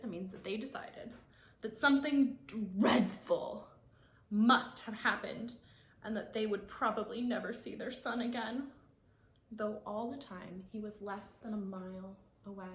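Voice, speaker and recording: A woman reading a picture book aloud to children, expressive, with explanatory asides for young listeners. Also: fairly high pitch, reverberant, slight background noise. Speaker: read-aloud storyteller.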